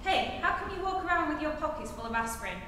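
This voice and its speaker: An actress playing a brisk, skeptical police lieutenant in a staged 1940s radio comedy. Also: female voice, high voice, lively intonation. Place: theatre stage. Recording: echoey theatre, far from mic, faint hiss.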